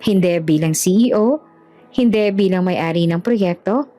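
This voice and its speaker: A woman's voice: lowish voice, measured pace, warm tone. A woman reading a scripted romance story as the narrator of an audiobook. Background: background music.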